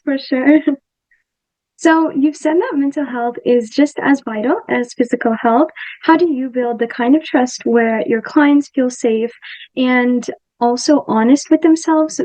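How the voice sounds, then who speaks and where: A woman's voice: fairly high pitch, rough recording. A young woman hosting a podcast, reading a guest introduction and asking questions in an enthusiastic, friendly way. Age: young adult. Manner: warm, enthusiastic, with um fillers. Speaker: podcast host.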